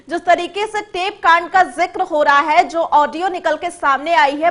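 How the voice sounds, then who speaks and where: A woman anchors live television news in a very high voice. She speaks emphatically and circles back over her phrases as she goes. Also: a bit bright.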